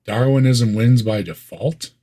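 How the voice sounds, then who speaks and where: A man's voice: mid-range voice, a little slow, warm tone. A man with a Midwestern American accent, co-hosting a casual reaction chat with wry, sarcastic asides and frequent laughs. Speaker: co-host reacting to videos.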